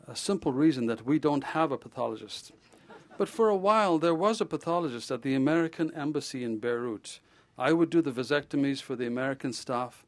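A man voicing a blunt, jovial male surgeon who tells stories in a lively, teasing and theatrical way. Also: on the high side, pitched above the performer's own voice, natural voice. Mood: matter-of-fact.

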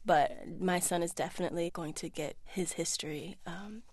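A woman's voice: lowish voice, moderate pace, no room sound. An actress in her thirties from the United States, talking candidly and reflectively in a remote interview and acting out voices when she quotes people. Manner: animated, acts out quoted voices. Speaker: actress and guest. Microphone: studio remote link.

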